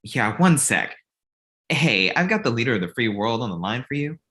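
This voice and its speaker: A man's voice: medium pitch, sing-song, moderate pace, pushed and bright. A man playing an earnest, exasperated male manager in a comedy table read, urgent and conversational. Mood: harried.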